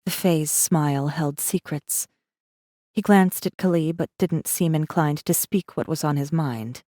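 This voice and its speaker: A guarded rebel messenger, voiced by a woman, tells her story in a deep, dark female voice. The audiobook delivery is tense, measured and dramatic, with a very dry, close sound. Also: dry recording. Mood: haunted. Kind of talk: dramatic first-person audiobook narration.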